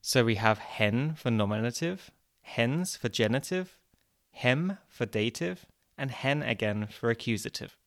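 A man hosts a podcast and explains points in a clear, scripted way. He has a lightly wry touch and a voice of middling pitch. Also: lively intonation, unhurried. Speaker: podcast host.

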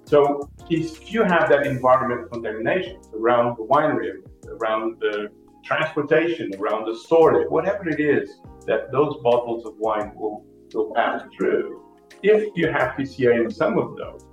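Male interview guest, Portuguese-accented, medium pitch, repeats for emphasis, recorded in a roomy space with faint music. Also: expressive, moderate pace, distant mic. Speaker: cork industry representative, podcast guest. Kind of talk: conversational interview explanation.

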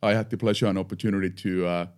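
A man's voice: deep voice, steady tone, rapid-fire. A middle-aged man from Finland with a Finnish accent, speaking English as a second language as a podcast guest, reflective and often restarting his words. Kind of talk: podcast interview.

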